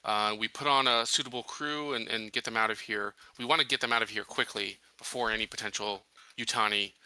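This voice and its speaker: A man's voice: on the low side, steady tone, brisk, bright, forceful tone, clear, resonant tone, no echo, rough recording. A man talking through plans as a tabletop roleplaying player, casual and thinking aloud. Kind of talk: casual in-game planning discussion.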